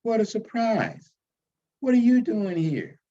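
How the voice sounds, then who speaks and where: A man's voice: high-pitched, animated, slow, warm tone, distant mic, lo-fi sound, pitched above the performer's own voice. A man voicing an eager woman visitor in a storybook reading. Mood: demure.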